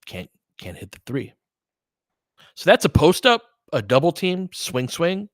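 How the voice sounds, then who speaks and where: A man's voice: on the high side, big pitch swings, a little slow, no room sound, studio quality. An American man giving casual live basketball commentary, conversational and analytical, often in clipped phrases. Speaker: host and play-by-play commentator for a fan stream.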